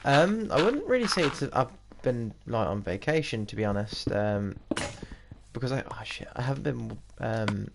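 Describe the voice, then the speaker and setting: A man's voice: medium pitch, expressive, moderate pace, creaky, background noise. A man in his twenties with a British accent, chatting casually and rambling while he plays a game, often laughing. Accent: British English. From England. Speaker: gaming streamer. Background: game music.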